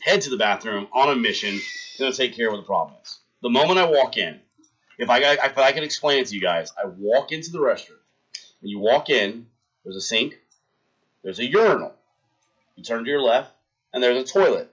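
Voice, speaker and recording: A man's voice: medium pitch, animated, fairly quick, breathy edge, lo-fi sound. A young man hosting a livestream, telling a rambling story in casual chat and talking to his viewers. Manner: rambling anecdote, talks to chat, shouts out followers. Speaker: livestream host.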